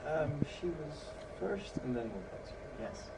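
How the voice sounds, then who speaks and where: A woman's voice: deep voice, sing-song, moderate pace. A woman moderating a festival Q&A, giving brief prompts to the audience. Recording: distant hall sound with noise.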